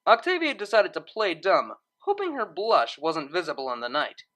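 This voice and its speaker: Woman narrating a story, really lively intonation, bright clear-cut delivery. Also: fairly low pitch, moderate pace. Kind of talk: expressive scripted narration.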